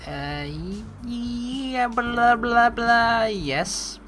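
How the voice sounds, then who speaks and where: A woman's voice: fairly high pitch, expressive, extremely slow, fairly bright tone, faint music behind. A woman doing chatty let's play commentary, reading game lines aloud expressively. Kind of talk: casual gameplay commentary and script reading.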